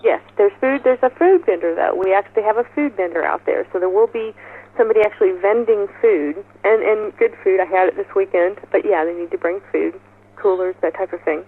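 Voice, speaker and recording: A woman's voice: medium pitch, a little fast, husky. A woman speaking as an event organizer in an informal interview, explaining details in an upbeat, enthusiastic way over a muffled telephone line. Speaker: festival organizer being interviewed.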